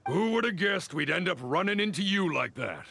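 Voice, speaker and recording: A man's voice: sits high, big pitch swings, moderate pace, creaky, background noise, exaggerated voice. An actor playing a shifty, cornered bandit leader in a video game, stammering and hesitant as he caves. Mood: grudgingly confessing. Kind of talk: video game story dialogue.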